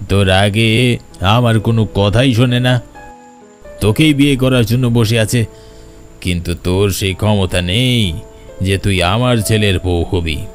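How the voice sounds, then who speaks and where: A man acts out an older village landlord, the villain of a horror cartoon. He is deep-voiced, haughty and domineering, with cruelty in his delivery. Faint music sits behind the voice. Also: unhurried, pauses often, lightly acted. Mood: menacing contempt. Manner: domineering, contemptuous. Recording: background music under the voice.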